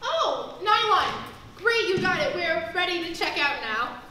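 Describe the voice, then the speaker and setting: Far-off echoey recording, woman acting sarcastic put-upon cashier, slow, stage comedy. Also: extremely high pitch, big pitch swings, bright, forceful tone, exaggerated voice. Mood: exasperated. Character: exasperated, sarcastic young supermarket cashier woman. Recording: distant, very echoey theatre stage recording with noise.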